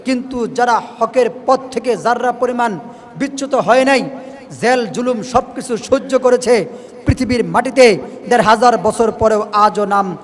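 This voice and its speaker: High-pitched male preacher in a reverberant hall. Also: measured pace. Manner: emphatic, exhorting, repeats phrases for effect. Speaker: Islamic preacher. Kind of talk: impassioned sermon to listeners.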